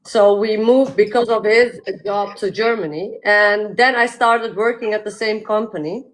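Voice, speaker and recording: A woman's voice: medium pitch, low-quality recording. Chatty Dutch-born woman. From Netherlands. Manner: chatty, laughs often, fills pauses with uh and like. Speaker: guest, metal detectorist. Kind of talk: casual interview chat.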